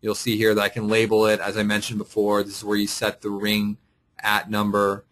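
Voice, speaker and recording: Tutorial presenter: male with a fairly low voice, informal explanatory delivery, talking through a screen share step by step. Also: monotone, brisk, a bit bright. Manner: explanatory, thinking aloud while clicking through. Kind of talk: casual screen-share walkthrough, unscripted. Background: voice-over for a screen recording.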